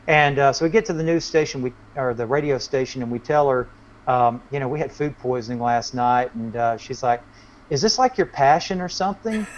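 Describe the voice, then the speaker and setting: A man's voice: mid-range voice, highs cut off, slight background noise. A man chatting casually as a country musician guest in an interview. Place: remote online call. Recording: band-limited online call audio.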